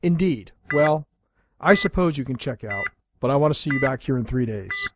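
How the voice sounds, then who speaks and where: An actor playing a flustered, brisk hospital doctor in a noir audio drama. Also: male voice, medium pitch, lively intonation, noisy background, close to an everyday voice.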